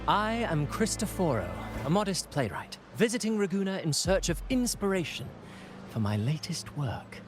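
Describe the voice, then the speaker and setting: Courteous playwright, formal, polished, slightly high, lively pitch. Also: male voice, moderate pace, music in the background.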